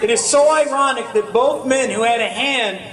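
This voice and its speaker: A man's voice: very high-pitched, normal speed. An American man reciting and speaking publicly to a gathering. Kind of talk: public recitation and address to a crowd. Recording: echoey, distant mic amid crowd noise.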